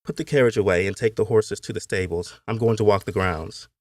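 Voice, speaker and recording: A man voicing a shy, well-mannered young Southern gentleman, polite and reserved. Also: on the low side, brisk, dry recording, close to an everyday voice.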